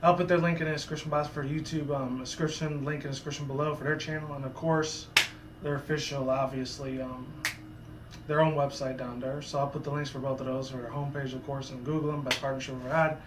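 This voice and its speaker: A man with a sore, hoarse voice, talking in a casual, rambling commentary vlog full of asides and restarts. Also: medium pitch, flat delivery, fast talker, roomy sound, noisy background. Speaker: YouTuber.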